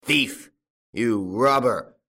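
A man's voice: lowish voice, animated, normal speed. A man voicing an angry, domineering farmer in an audiobook, with a growling, bullying delivery. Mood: enraged. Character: angry domineering male farmer demanding his stolen money. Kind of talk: audiobook character acting.

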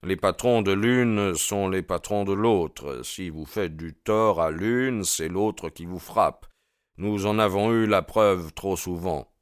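A man's voice: low voice, slow pace, no echo, clean studio sound. A man voicing a stern, wary landlord in an audiobook, blunt and aggrieved in his way of talking. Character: stern, wary landlord, father of a grown daughter. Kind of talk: audiobook character dialogue.

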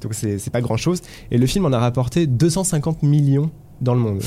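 A man's voice: medium pitch, brisk. A man hosting a film podcast, introducing topics and leading the talk with some hesitation. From France. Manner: lively, hesitant at times. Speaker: podcast host.

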